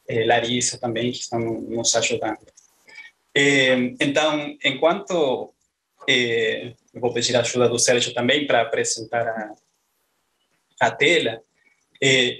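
Man in his thirties with a strong Argentine Spanish accent, giving a scholarly talk over a video call, flat and level delivery, frequent "eh" fillers while he gathers his thoughts. He speaks into a headset from a small room at home. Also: medium pitch, poor audio. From northeast Argentina. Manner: hesitant, many "eh" fillers. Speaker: postdoctoral researcher. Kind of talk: academic presentation on a video call.

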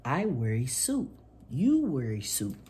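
Storytime host: man, animated pitch swings, mellow tone, reading picture books to children. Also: measured pace, a little hiss or hum. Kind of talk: read-aloud children's picture book. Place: small indoor room at home.

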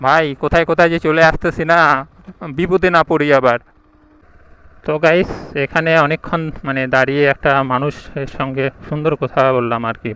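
A man's voice: medium pitch, fairly bright tone, clear, resonant tone, poor audio. A young man, narrating a casual motovlog while riding outdoors, thinking aloud and asking people for directions. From India. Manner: chatty, thinks aloud, asks passersby for directions. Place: outdoors on village roads, riding a motorbike. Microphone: action camera mic.

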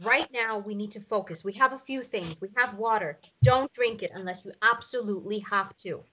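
A woman's voice: mid-range voice, normal speed, pushed and bright, poor audio. An actress playing a stern woman captive who takes command like a military leader, giving firm, controlled orders in a drama read-through. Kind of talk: script read-through acting.

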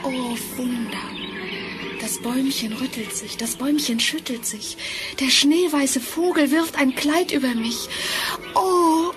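High-voiced, slow, dreamy Cinderella. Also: female voice. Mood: awed, dreamy. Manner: dreamy, declaimed. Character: gentle, wistful young Cinderella. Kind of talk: German fairy-tale radio play acting. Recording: vinyl record transfer with clear music.

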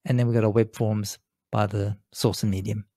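A man with a soft-edged, mellow voice walks through a software tutorial step by step. His delivery is informal and unscripted, and the sound is very dry, with little room around it. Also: on the low side, little pitch movement, fairly quick, no room sound. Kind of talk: casual screen-share tutorial, step by step. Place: indoors, seated at a computer.

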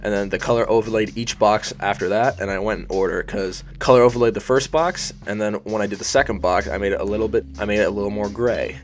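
Young guy host, lowish voice, sniffly, rambling self-deprecating voice-over tutorial with faint music behind. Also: steady tone, quick pace, hardly any pauses. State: sniffly from allergies. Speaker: YouTube design tutorial host. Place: hot bedroom.

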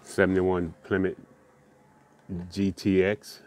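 A man chatting casually about his collection from off camera, relaxed and rambling, with plenty of uhs. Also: low-pitched, slow, slight background noise. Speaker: die-cast car collector vlogger. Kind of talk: casual show-and-tell chat.

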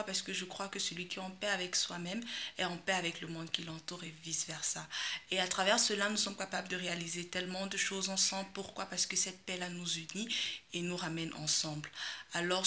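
Young woman from Cameroon, fast, bright, animated. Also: lowish voice, a little hiss or hum. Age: young adult. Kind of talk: recorded video message. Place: bedroom, indoors.

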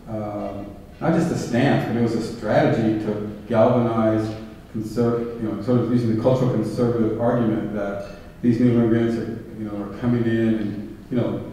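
A man's voice: medium pitch, warm tone. A man giving a public talk from a podium in a large hall, speaking thoughtfully with many fillers and restarts. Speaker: guest speaker. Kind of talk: public talk with audience questions. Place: large hall with round tables. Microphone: podium mic, filmed from far back. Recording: echoey hall from far off.

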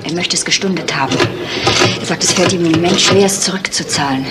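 Low-pitched, guarded innkeeper's daughter played by a woman. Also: quick pace, close to an everyday voice. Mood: tense. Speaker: actor in a crime radio play. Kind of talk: 1970s German radio drama acting. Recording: noisy 1970s radio play recording.

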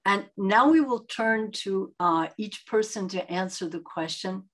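A middle-aged woman moderating an online panel, thanking speakers and moving on in a measured, gracious way. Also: medium pitch, fairly bright tone. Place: home room, video call. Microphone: video call webcam.

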